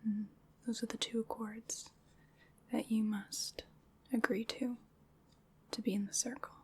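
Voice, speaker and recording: A woman performs as a solemn, gentle witch in a whispered ASMR roleplay. Her breathy whisper moves slowly and deliberately, soothing as it guides a ritual. A faint noise sits under the voice. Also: a little high, little pitch movement, close to an everyday voice. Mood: inviting, mysterious.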